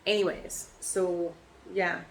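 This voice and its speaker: Hoarse, rambling woman vlogger. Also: lowish voice, little pitch movement, slow, rough recording. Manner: rambling and chatty, with many ums and restarts. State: hoarse from laryngitis. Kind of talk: casual personal vlog.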